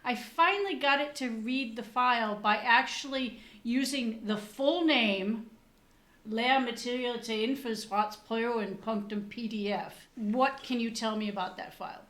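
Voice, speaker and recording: A woman's voice: fairly high pitch, measured pace, a bit bright, echoey room. A woman co-hosting a casual tech podcast, a Danish and English speaker, explaining her tests in a chatty, trailing way. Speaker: podcast co-host.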